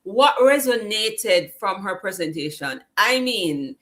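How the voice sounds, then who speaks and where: A woman's voice: medium pitch, animated, takes it slowly, a bit bright. A woman hosting a live online summit over a video call, cheering on her viewers and reading their chat comments aloud. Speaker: summit host.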